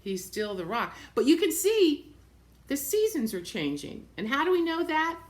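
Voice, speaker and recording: A woman reading a picture book aloud to young children, expressively, pausing to point things out in simple words and repeating phrases. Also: high voice, very animated. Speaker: storybook reader for young children.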